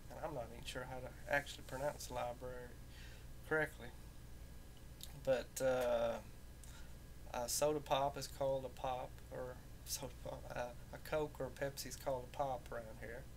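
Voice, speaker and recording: A man speaks casually with a softened Southern Appalachian accent at a middling pitch. His delivery is folksy and halting, as in an unscripted personal talk. A faint rumbling noise sits behind the voice in what sounds like a home recording. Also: flat delivery, vocal fry. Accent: Southern Appalachian American, somewhat softened. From rural Southern United States, southern Appalachia.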